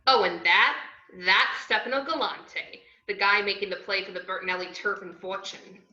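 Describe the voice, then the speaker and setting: A woman playing a brash, bright-voiced antiheroine narrator. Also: medium pitch, normal speed. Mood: brash, chatty. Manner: sardonic, slangy. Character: brash, cheeky young woman narrating her crime story. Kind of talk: scripted narration in a table read. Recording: roomy, dull video-call audio.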